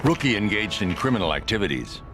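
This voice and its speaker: An actor playing a grim, stern masked superhero detective in a video game, terse and deadpan. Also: male voice, on the low side, fast talker, music in the background. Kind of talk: video game cutscene acting.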